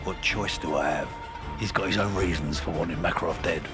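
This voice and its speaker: A man playing a vengeful older British soldier in a video game, terse and brooding, with a low gravelly voice. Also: brisk, music in the background, natural voice. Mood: grieving, grim. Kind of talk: dramatic video game acting.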